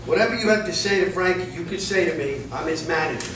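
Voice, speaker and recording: Man playing a combative boxing manager, highish voice. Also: lively intonation, fast, close to an everyday voice. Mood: confrontational. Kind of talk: staged play reading. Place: indoor room, live staged reading. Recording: echoey room, distant mic, clear noise.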